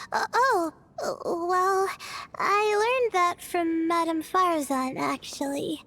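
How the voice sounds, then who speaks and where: Timid, high-voiced anxious student girl. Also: vocal fry, fairly bright tone, a little hiss or hum, full character voice. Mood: flustered. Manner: hesitant, apologetic, trailing and stammering. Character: timid, self-doubting young female student, gentle and anxious. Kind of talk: English video game voice acting. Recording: faint music behind the voice.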